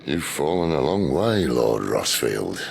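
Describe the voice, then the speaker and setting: An actor playing a wry, persuasive middle-aged outlaw rebel leader in a fantasy game, sardonic and unhurried. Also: male voice, very animated, a little hiss or hum, full character voice. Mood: wry, menacing. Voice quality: deep gravelly voice. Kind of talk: fantasy game cutscene acting.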